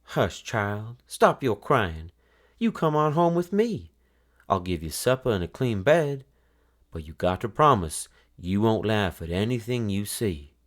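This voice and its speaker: Casting: male reader as gentle, mysterious old country woman, coaxing, folksy, very animated pitch, storybook. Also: a little slow. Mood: kindly soothing.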